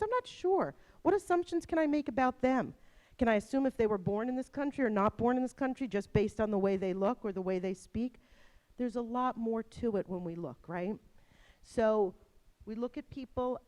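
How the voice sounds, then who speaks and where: A middle-aged woman with a New Jersey accent, moderating a university workshop and speaking to a room of staff in a lively way, often tagging her points with "right?". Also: mid-range voice. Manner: lively, keeps asking "right?".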